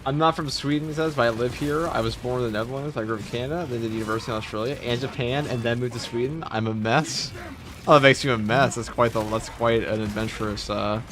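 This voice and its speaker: A man's voice: medium pitch, fast talker, fairly bright tone. A man hosting a gaming livestream, reading viewer messages aloud and riffing on them casually. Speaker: streamer host. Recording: background noise under voice.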